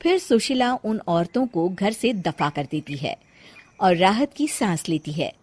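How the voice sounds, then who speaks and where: A woman narrating a scripted cartoon moral story in an expressive storyteller's style. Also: mid-range voice, a little slow, heavy background noise.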